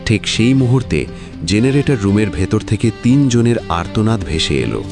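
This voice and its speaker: A man's voice: on the low side, big pitch swings, normal speed, music in the background. A man narrating a suspense story in a dramatic, scripted style.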